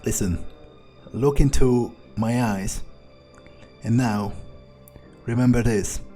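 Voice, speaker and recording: A man's voice: medium pitch, warm tone, close to an everyday voice. A man voicing a jaded, wary male vampire in a game playthrough, wryly muttering his thoughts in character. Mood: jaded, musing. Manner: unhurried, wry, muttering to himself. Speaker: gamer role-playing in character. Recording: faint game music behind.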